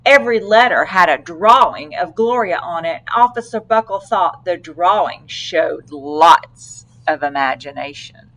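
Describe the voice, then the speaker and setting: A woman narrating a children's storybook aloud with deliberate, dramatic phrasing. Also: lowish voice, expressive, fairly bright tone, rough recording. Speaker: storybook reader.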